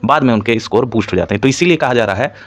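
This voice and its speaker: A man's voice: mid-range voice, animated, very fast. A man giving an informal analytical lecture as a coaching instructor, mixing in English terms and repeating points as he explains. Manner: Hindi mixed with frequent English terms.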